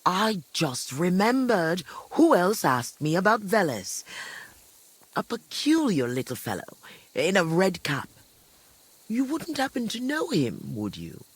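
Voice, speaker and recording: A woman's voice: on the low side, sing-song, measured pace, slightly rough, no room sound, exaggerated voice, rough recording. An actress playing a chatty, kindly old village granny in a video game, rambling and hesitating as she talks. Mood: concerned, warning. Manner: rambling, hesitant recollection with frequent second thoughts. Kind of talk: video game dialogue acting.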